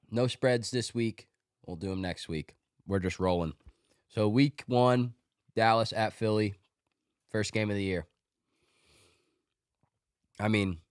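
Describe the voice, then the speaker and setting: Male podcast host, low voice, slightly congested, dry close sound, offhand joking read. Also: clean tone, no room sound. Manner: offhand, joking, talks through his picks. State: congested from a cold. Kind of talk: casual sports podcast monologue.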